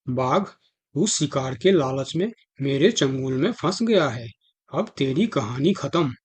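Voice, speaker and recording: Man voicing a husky, commanding cartoon beast. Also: mid-range voice, animated, takes it slowly. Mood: triumphant. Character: proud commanding lion king and menacing hungry tiger. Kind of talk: Hindi children's cartoon dialogue.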